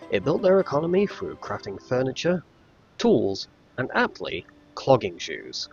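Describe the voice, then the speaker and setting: A man tells a scripted children's tale with lively, swinging intonation. His measured storyteller phrasing leans into dramatic emphasis, and music plays clearly behind him. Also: mid-range voice, dull audio. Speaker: narrator.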